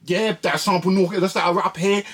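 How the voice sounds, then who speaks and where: A man's voice: high voice, steady tone, fast talker, a bit bright. A British man hosting a music channel, chatting casually and colloquially to viewers in a rambling way with frequent tag questions. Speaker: host of a music video channel. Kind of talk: casual chat to viewers about records.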